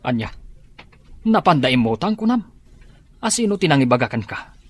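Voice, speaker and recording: A man's voice: mid-range voice, very animated, moderate pace, no room sound. A middle-aged man with an Ilocano accent plays a strict, scolding farmer father in a radio drama. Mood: angry. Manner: scolding, gruff. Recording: faint music behind.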